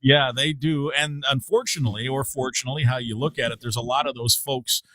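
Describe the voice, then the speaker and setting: A man's voice: medium pitch, steady tone, a little fast, bright, forceful tone, dry recording, rough recording. A middle-aged man from Iowa, a radio play-by-play broadcaster chatting about college basketball, thoughtful with frequent fillers and restarts. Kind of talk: casual sports talk.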